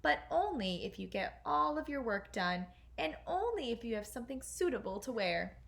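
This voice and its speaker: A woman's voice: a little high, big pitch swings, moderate pace, gravelly. Woman acting cruel, commanding stepmother. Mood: sly. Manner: stern, snapping, commanding. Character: cruel, sly, commanding middle-aged stepmother.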